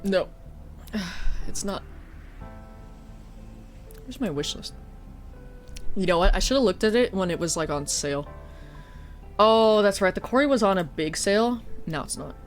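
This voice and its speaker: A woman's voice: mid-range voice, lively intonation, measured pace, pauses often, faint music behind. A woman streamer and teacher chatting casually and a bit stuffy-nosed, swearing and poking fun at herself. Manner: chatty, swears, self-deprecating. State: sniffly, stuffy nose. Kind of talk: casual chat while streaming.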